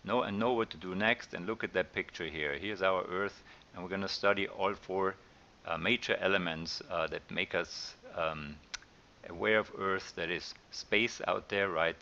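Low-voiced Austrian man teaching. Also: normal speed, highs cut off, slight background noise. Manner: relaxed, conversational, with filler ums and uhs. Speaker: online science teacher. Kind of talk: recorded slide lecture.

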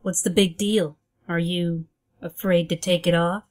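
A woman's voice: fairly low pitch, fairly even intonation, unhurried, close to an everyday voice. Woman playing a cool, coaxing femme fatale. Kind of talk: amateur comic-book dub acting.

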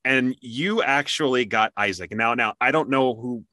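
Male interview host. Bright, forward voice, dry close sound, conversational and explanatory. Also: mid-range voice, moderate pace, no echo. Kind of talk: casual interview.

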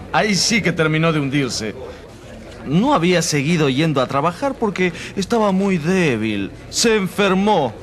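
A seasoned, mocking court journalist, speaks in a fairly high, swooping voice. He is cocky, amused and emphatic, as in a radio play. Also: a bit bright, heavily acted. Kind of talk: radio drama interview.

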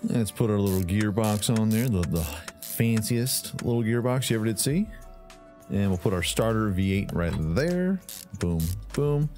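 A man with a fairly low voice talks through a car repair game in a playful, goofy way. His pitch swings widely as he tosses out sound effects and snatches of song. Faint background music plays beneath him. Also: animated, measured pace, a little mellow. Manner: goofy, sings and makes silly sound effects. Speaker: gaming channel host. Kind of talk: playful gameplay commentary.

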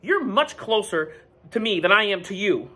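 A man's voice: high-pitched, big pitch swings, moderate pace, sharp tone, husky, pitched above the performer's own voice, exaggerated voice. A man voicing an earnest, hesitant but stubborn goose from a children's picture book. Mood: matter-of-fact.

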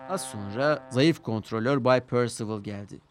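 A man's voice: mid-range voice, moderate pace, slight background noise. A man narrating a scripted children's story with dramatic storytelling delivery. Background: music.

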